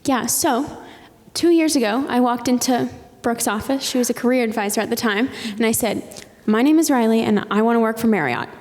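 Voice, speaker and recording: A young woman in her twenties from North Carolina talks on a panel with an upbeat, confident manner. Her voice runs fairly high, and a long hall reverb trails after it. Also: a little fast, hardly any pauses, darkish tone. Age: 20s. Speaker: student panelist. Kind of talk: panel discussion.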